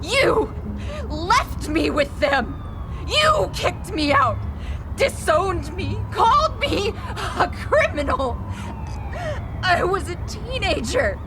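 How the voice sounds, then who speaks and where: A young woman killer, bitter and unhinged, her voice high and lurching between screams and sobs. She drags her words out slowly through tears and broken laughter. A clear hiss of noise sits behind her. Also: big pitch swings, exaggerated voice. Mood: raging, tearful. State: crying. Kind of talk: audio drama acting.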